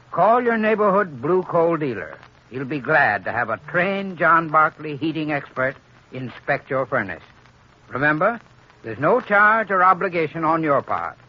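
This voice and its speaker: A man with an American accent reading a scripted 1930s radio advert in a measured, instructive way. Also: a little high. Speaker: advertising spokesman. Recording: muffled, noisy 1930s radio recording.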